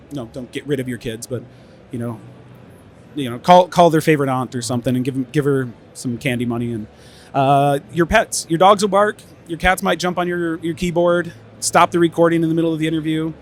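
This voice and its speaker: A man's voice: fairly high pitch, fairly quick, slight background noise. A man presenting at an audio trade expo in a casual conference-talk manner, voice a bit tired from many interviews, with lots of fillers and restarts. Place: expo stage.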